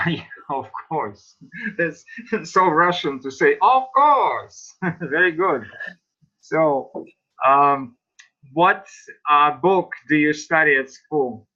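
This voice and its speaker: A man with a bright, fairly high voice leads an online English lesson, putting slow, patient questions to his students. The audio sounds dull and lo-fi. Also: lively intonation. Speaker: English teacher.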